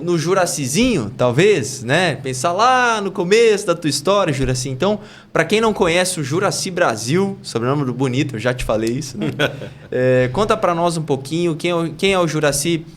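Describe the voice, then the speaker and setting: Upbeat Brazilian podcast host. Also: male voice, fairly high pitch, expressive, moderate pace. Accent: Brazilian Portuguese. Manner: chatty, upbeat, reads sponsor thanks. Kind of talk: casual podcast interview.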